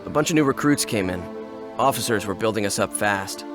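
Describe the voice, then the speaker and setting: An actor narrating a game cutscene as an American WWII paratrooper, plainspoken and wry. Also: male voice, on the low side, expressive, a little fast, lightly acted. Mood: reflective. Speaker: soldier narrator. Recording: over loud music.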